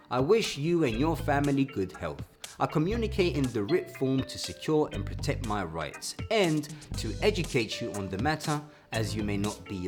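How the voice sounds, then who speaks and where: A man's voice: mid-range voice, reverberant. Agitated man vlogging over music. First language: English. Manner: agitated, swears, acts out quotes. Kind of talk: casual vlog commentary.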